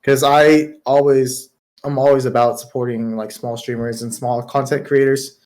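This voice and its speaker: A man's voice: mid-range voice, little pitch movement, a little mellow. Airy-voiced young man, slight Southern accent. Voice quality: light, airy voice. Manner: easygoing, quick to laugh. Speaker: podcast co-host. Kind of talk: casual chat.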